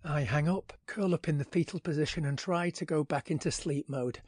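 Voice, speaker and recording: A man's voice: fairly high pitch, a little fast, a little mellow, slightly rough, dry recording, studio quality. An actor playing an irritable, put-upon male tenant who tells his story in a sardonic, grumbling, slangy audio drama monologue. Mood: grumpy.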